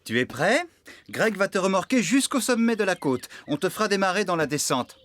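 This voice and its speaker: A man's voice: high voice, sing-song, fairly quick, a bit bright. A man voicing a cheerful, confident farm mechanic in a French children's cartoon, bouncy and reassuring. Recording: music behind.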